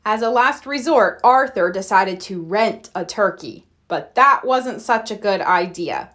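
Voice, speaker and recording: A woman narrating a children's storybook aloud in an expressive read-aloud style. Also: medium pitch, measured pace. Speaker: storybook reader.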